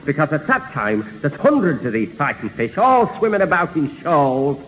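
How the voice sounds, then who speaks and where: Pompous, jovial fibber, lively swooping delivery, slightly high, old radio comedy with hall reverb. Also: male voice, normal speed. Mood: boastful. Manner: pompous, boastful storytelling. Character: boastful tall-tale-telling neighbour, blustering and jovial. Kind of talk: 1970s radio sitcom acting before an audience. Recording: muffled old radio hall recording, hiss.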